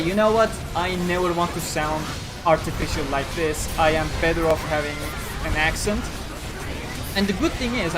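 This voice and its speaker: A man from Turkey with a Turkish-accented English, chatting casually and rambling as a livestream host while reading chat. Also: a little high. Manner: rambling, reflective, frequent restarts.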